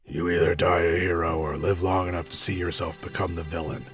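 A man voicing a stoic, self-important gruff superhero in clipped, brooding lines for a comic story reading. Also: low-pitched, flat delivery, a little fast, over music, voice pushed down, natural voice. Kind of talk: comic audiobook-style reading.

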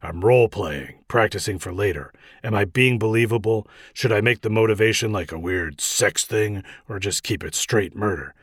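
A man voicing a stoic, self-important gruff superhero in clipped, brooding lines for a comic story reading. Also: lowish voice, moderate pace, few pauses, a bit bright, dry recording, studio quality. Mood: deadpan, brooding. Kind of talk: comic audiobook-style reading.

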